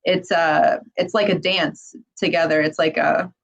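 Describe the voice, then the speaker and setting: A woman hosts a relaxed band interview in an upbeat way. She often pauses with "um" and "uh" while she works out her questions. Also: fairly low pitch, lo-fi sound. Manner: upbeat, hesitant, many fillers. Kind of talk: casual interview.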